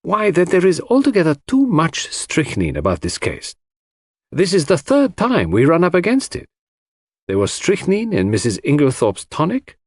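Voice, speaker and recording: An elderly Belgian gentleman detective acted out by a male audiobook reader, enigmatic and self-assured. His speech is precise and courtly, rising and falling widely in pitch. The voice is lifted and slightly husky, recorded very dry. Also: huge pitch swings, moderate pace, dry recording, heavily acted. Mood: pensive. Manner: precise, courtly phrasing sprinkled with French address. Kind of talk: audiobook character voice.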